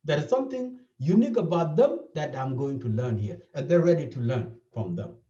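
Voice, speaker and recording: A man's voice: on the high side, very animated, a little fast, warm tone. A man from South Sudan with a South Sudanese accent, speaking as a therapist in an interview and explaining his points earnestly, often repeating them. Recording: dull, echoey, from a distance.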